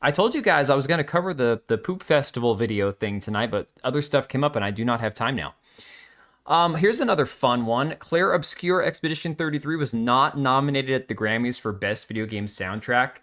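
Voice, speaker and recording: A middle-aged man hosting a live stream, chatting casually and reacting to chat with quick jokey asides. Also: medium pitch, lively intonation, a bit bright. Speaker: livestream host.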